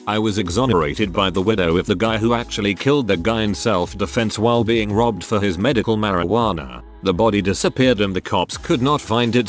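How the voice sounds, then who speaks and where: A man narrates a string of online posts in a steady, even read-aloud style. His voice sits on the low side. Soft music plays faintly beneath him. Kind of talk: scripted narration. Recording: soft music bed behind voice. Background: faint background music.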